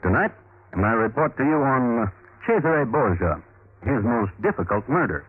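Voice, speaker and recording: A man playing a dry, droll crime connoisseur, narrating a 1950s radio drama in measured deadpan. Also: mid-range voice, husky. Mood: solemn. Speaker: host and narrator. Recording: muffled vintage radio recording, music behind.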